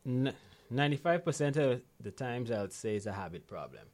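A man's voice: mid-range voice, sing-song, fairly quick, darkish tone. A Guyanese man speaking as an interview guest and coach, explaining in a conversational, motivational way and repeating key phrases for emphasis.

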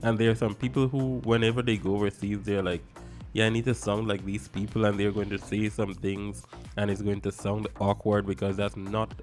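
A man's voice: fairly low pitch, a little mellow. A man with a Guyanese accent, co-hosting a live morning show and talking emphatically. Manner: chatty, emphatic, repeats points. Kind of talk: live talk show chat. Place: TV studio.

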